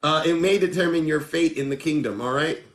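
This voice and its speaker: A man hosting a live call-in show, theatrical, with a booming announcer style. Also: a little fast, fairly bright tone. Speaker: show host.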